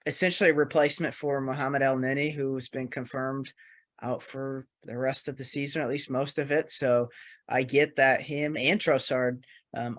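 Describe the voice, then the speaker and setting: Medium-pitched man discussing football. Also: fairly bright tone. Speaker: podcast panellist.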